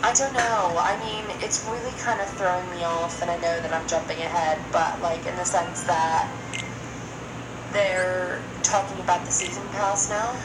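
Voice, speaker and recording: A woman's voice: fairly low pitch, steady tone, normal speed, noisy background. A woman in a casual, opinionated podcast discussion, speaking in an earnest, exasperated way. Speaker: podcast co-host. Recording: roomy echo, loud music behind. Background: loud background music.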